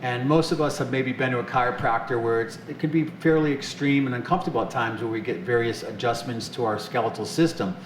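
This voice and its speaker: A man's voice: medium pitch, quick pace, few pauses, roomy sound, a little hiss or hum, poor audio. A man hosting an interview show, chatting casually and enthusiastically with some filler words. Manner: enthusiastic, upbeat, rambling with fillers. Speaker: show host.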